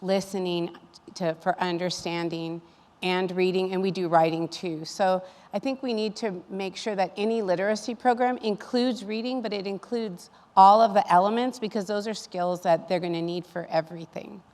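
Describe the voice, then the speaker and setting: A woman's voice: medium pitch, fairly even intonation, normal speed, a little mellow. A woman speaking as an educator in a panel discussion, explaining things in an earnest, advocating way. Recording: reverberant hall sound.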